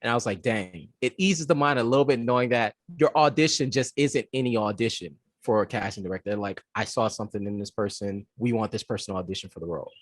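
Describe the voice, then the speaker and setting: A man co-hosts a relaxed conversation, rattling off thoughts quickly with lots of fillers. The sound is dry and close. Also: fairly low pitch, no room sound. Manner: fast, loose, full of fillers. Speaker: podcast co-host. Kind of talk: casual interview chat.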